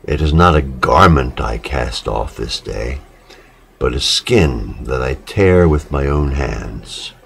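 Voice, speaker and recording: Deep, slow-speaking man reciting solemnly. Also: lively intonation, creaky, fairly bright tone, husky. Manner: measured, dramatic pauses between short phrases. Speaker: reader of poetic literature.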